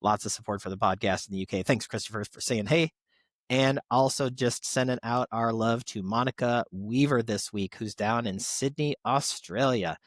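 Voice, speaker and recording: A chatty male podcast co-host reads listener shout-outs in a casual, appreciative way. His voice sits at an average pitch, recorded close and dry with very little room sound. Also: moderate pace, no echo.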